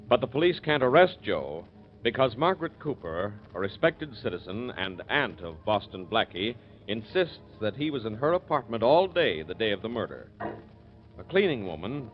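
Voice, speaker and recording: A man narrating from a script as announcer of a 1940s radio detective serial. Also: mid-range voice. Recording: muffled old radio recording. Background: faint music.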